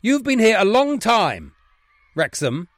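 A man playing a stern headmaster in a comedy sketch, scolding schoolboys in a loud, emphatic, repetitive way. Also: high voice, wildly swooping pitch, takes it slowly, no room sound, pitched above the performer's own voice, exaggerated voice.

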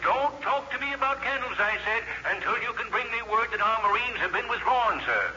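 A man's voice: extremely high pitch, lively intonation, a little fast, sharp tone, exaggerated voice. An actor playing a pompous middle-aged businessman, oratorical and easily flustered, in a 1950s radio comedy. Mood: pompous, indignant. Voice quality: oratorical, hesitant when flustered. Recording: muffled, noisy old radio broadcast.

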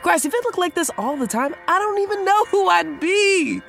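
A woman's voice: extremely high pitch, expressive, moderate pace, gravelly, a bit bright, faint music behind, exaggerated voice. A woman in her twenties playing a cheerful, brash, newly sober young woman in an audio drama, profane and rambling. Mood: giddy, upbeat. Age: 20s.

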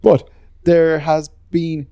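A man's voice: on the high side, flat delivery, slow pace, vocal fry, mellow, smooth, no room sound, clean studio sound. A man with an Irish accent, co-hosting a podcast in casual, rambling chat that is full of swearing and self-deprecating asides. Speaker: podcast co-host.

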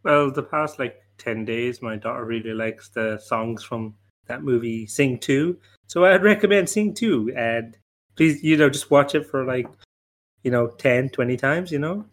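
Mid-pitched man in casual podcast banter. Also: unhurried. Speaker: podcast panelist.

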